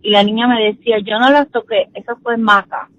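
A woman's voice: a little high, fairly even intonation, fairly quick. A woman in her sixties from Peru calling a radio show and earnestly telling a family story. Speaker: caller. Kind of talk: telling a personal story on a call-in. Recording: dull phone line.

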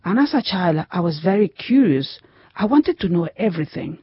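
Female interpreter voice-over, gentle and reflective, middle pitch, muffled audio. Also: very animated, normal speed, slight background noise. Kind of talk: translated voice-over.

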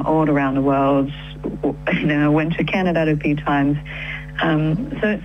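Phone guest, woman from Taiwan, low voice, hesitant, dull line. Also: a little hiss or hum. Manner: hesitant, many fillers and restarts. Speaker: musician guest. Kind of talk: casual interview chat.

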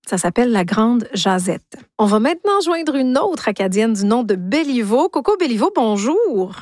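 A woman's voice: on the high side, very animated, moderate pace, a little mellow, no room sound, studio quality. A woman hosting a radio interview, articulate and addressing her guest formally. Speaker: radio host.

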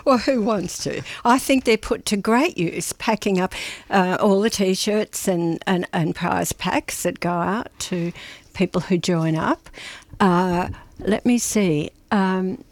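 A woman presenting a community radio show, chatting casually with a colleague, slightly croaky today, with lots of fillers and laughs. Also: medium pitch, slow pace, no room sound. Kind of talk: casual on-air chat. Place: radio studio. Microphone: studio microphone.

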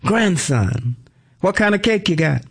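A man hosting a talk radio show in a studio, with a squeaky voice and an exuberant, catchphrase-heavy manner. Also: sing-song, unhurried, creaky, breathy edge. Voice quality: squeaky, high voice. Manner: exuberant, repeats catchphrases, shouts cues at the board.

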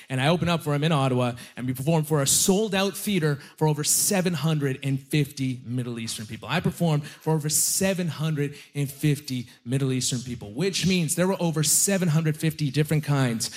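A young man doing a stand-up comedy set on a club stage with a handheld mic. His first language is Aramaic, and he talks with loud energy, often shouting the punchlines. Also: a little high. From Canada (Nova Scotia).

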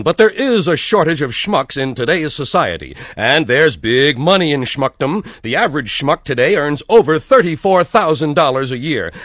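A man plays the comic pitchman with mock-earnest sales patter. His fairly high voice moves up and down a lot, recorded dry with no room sound. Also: a bit bright, dry recording. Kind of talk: parody radio advertisement.

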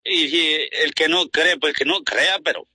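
A man from Honduras giving a spontaneous testimonial as a client, halting, repetitive and plain-spoken. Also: a little high, sharp tone, husky, no echo.

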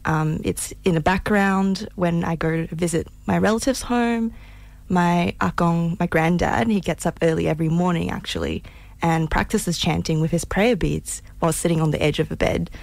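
A woman from Malaysia co-hosting a radio show, speaking softly and reflectively with many pauses and fillers. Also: on the low side, vocal fry, no echo. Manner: soft-spoken, hesitant with many fillers. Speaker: radio co-host. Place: radio studio.

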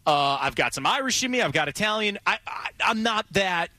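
A man's voice: sits high, lively intonation, fairly quick, bright, forceful tone, dry recording. A man from Cleveland with an American accent, hosting a casual, conversational sports talk radio show. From Cleveland, Ohio, USA.

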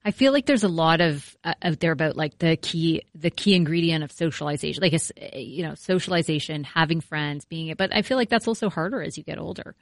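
A woman's voice: low-pitched, fairly quick, few pauses, dry recording, clean studio sound. A woman hosting a casual chat, talking in a personal, chatty way with lots of fillers. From Greek heritage. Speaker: host.

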